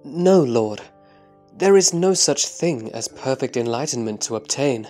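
A man playing an earnest, humble disciple in a scripture audio drama, answering in a reverent, deliberate, formal way. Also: mid-range voice, lively intonation, darkish tone, faint music behind, close to an everyday voice. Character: Subhuti, earnest humble male disciple of the Buddha.

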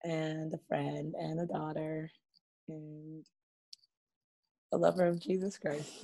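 Low, slow, mellow-voiced woman guest. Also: flat delivery, gravelly. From Nigeria. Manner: open, chatty storytelling with small laughs. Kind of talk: casual video-call interview. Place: home living room. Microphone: laptop webcam on a video call.

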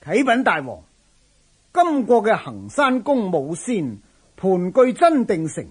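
An actor playing a shrewd, persuasive general in a historical radio drama, speaking in a declamatory, forceful way. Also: male voice, high voice, huge pitch swings, takes it slowly, slightly rough, heavily acted. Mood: respectful, urging. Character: zealous Han general serving the Mongols, shrewd, persuasive.

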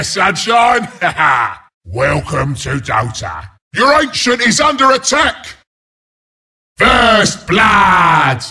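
A mean, cocky porcupine brawler announcing a video game in a British accent. The voice is gravelly and pitched high for a male, swinging widely up and down. He barks it out in a blunt, taunting, rowdy way, with a hard, forceful edge. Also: full character voice. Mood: taunting, triumphant. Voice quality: gravelly, raspy. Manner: taunting, rowdy, blunt, mock-sympathetic asides.

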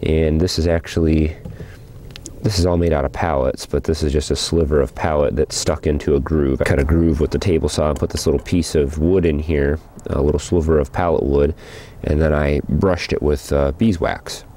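A man casually narrating as he cleans out an old hive outdoors, thinking aloud with small pauses. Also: very deep voice, steady tone, dark tone, a little hiss or hum. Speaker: hobby beekeeper and channel host. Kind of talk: casual narration while working. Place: outdoors beside a wooden beehive.

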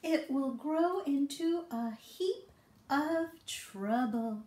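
A woman's voice: high-pitched, animated, very slow, a little mellow. A middle-aged woman leading a toddler storytime with read-alouds, songs and rhymes in a playful, encouraging, singsong way. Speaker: children's storytime librarian host. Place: small book-lined office. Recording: roomy indoor echo.

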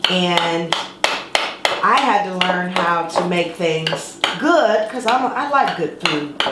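A woman's voice: lowish voice, very noisy. A middle-aged woman hosting a home cooking show, chatting casually and playfully as she demonstrates a recipe. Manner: chatty and playful, sings words for fun. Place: home kitchen, indoors. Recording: echoey kitchen with clattering dishes.

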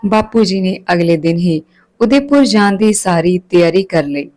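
A woman's voice: lowish voice, lively intonation, normal speed, a little mellow. Woman narrating a story. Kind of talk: scripted story narration with character dialogue.

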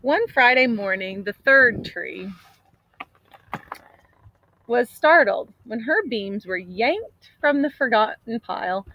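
Higher-voiced woman reading a storybook aloud. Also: takes it slowly, pauses often, rough recording. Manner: expressive, dramatic storybook delivery. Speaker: storybook reader. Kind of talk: read-aloud storytelling from a picture book. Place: outdoors in an open grassy area.

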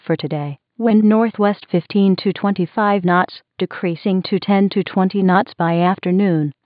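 A woman's voice: mid-range voice, moderate pace, no echo. A synthetic woman's voice reading weather bulletins in a mechanical, impersonal manner on a muffled radio recording. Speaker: automated weather radio announcer.

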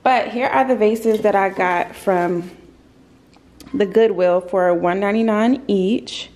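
A young woman in her twenties talks to a handheld camera in her apartment, unhurried and relaxed in pace. Her voice has a gravelly, fry-tinged edge. She rambles casually with plenty of ums and repeated phrases. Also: medium pitch. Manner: chatty and rambling, many ums, repeats herself. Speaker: lifestyle vlogger. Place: apartment living room and kitchen, indoors. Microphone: handheld camera on a grip, close.

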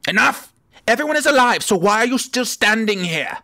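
A man's voice: high-pitched, expressive, brisk, bright, forceful tone, full character voice. A man playing a menacing, impatient terrorist villain in a radio play, theatrical and imperious.

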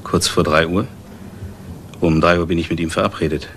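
An actor playing a cold, businesslike man who orders a killing in a crime radio play, measured, formal and matter-of-fact. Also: deep voice, steady tone, a bit bright, slight background noise.

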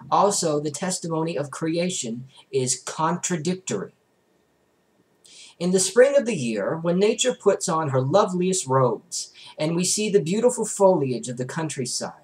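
A young adult man reads a religious book aloud in a formal, deliberate, rhetorical way. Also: on the high side, poor audio. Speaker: book reader. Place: small bedroom, indoors.

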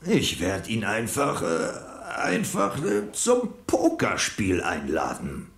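A man's voice: lowish voice, huge pitch swings, slow, gravelly, full character voice. A blustering, boastful general for a children's radio play. His delivery swings widely and emphatically, with a roomy echo around the voice. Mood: puzzled. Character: blustering, easily irritated army general, gullible and boastful. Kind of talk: German children's audio drama acting.

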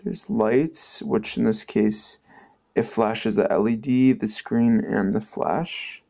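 A man's voice: fairly low pitch, slow pace, creaky, dark tone. A man hosting a tech channel, talking offhand in a casual phone tutorial as he taps through screens. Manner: offhand, talking while tapping through tests.

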